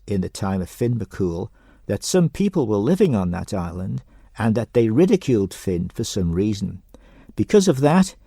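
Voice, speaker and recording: Gravelly man reading a story aloud. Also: fairly low pitch, mellow, no room sound, studio quality. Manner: slow deliberate reading aloud. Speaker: radio presenter reading a story.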